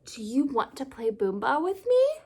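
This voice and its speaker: A woman's voice: sits high, wildly swooping pitch, moderate pace, darkish tone, full character voice. A woman voicing an eager, exclamatory little penguin boy, a clingy younger brother in a children's storybook. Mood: friendly. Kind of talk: children's storybook read-aloud.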